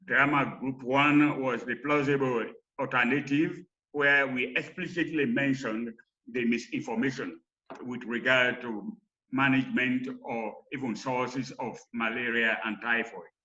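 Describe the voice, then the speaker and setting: A man presenting research in a lecture, with halting, emphatic phrasing. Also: mid-range voice, steady tone, sharp tone. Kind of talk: academic lecture. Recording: slightly dull online call audio.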